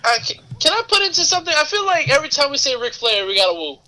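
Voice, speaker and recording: A man co-hosting a wrestling podcast, his voice unusually high, bright and sharp. He reads the news in a bouncy, wildly varied tone and keeps teasing the others with quick jokes. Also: very animated. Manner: teasing, jokey, quick quips. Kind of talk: casual wrestling podcast chat.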